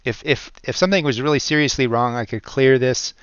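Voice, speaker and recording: A man presenting an unscripted step-by-step software tutorial, explaining things in a halting way. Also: medium pitch, steady tone, clear, resonant tone, no echo, muffled recording.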